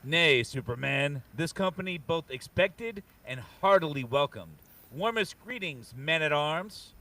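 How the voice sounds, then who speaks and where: A man's voice: a little high, lively intonation, measured pace, pushed and bright, far from the mic, exaggerated voice. A man playing an earnest, mighty warrior hero, declaiming in archaic phrases at a comic book table read. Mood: calm confidence. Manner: declamatory, archaic heroic diction. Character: noble, earnest muscle-bound barbarian hero, strongest man around.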